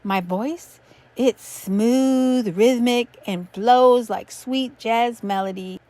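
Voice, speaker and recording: A woman doing a smooth laid-back beatnik. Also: fairly high pitch, very slow, warm tone, dry recording. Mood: cool. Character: smooth, rhythmic cool beatnik artist.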